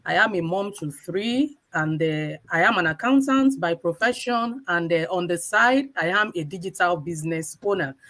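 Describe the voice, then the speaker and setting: A woman from Nigeria co-hosting a live online talk, speaking in a measured, courteous way. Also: fairly low pitch, lively intonation, fairly bright tone. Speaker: co-host. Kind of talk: live stream introduction.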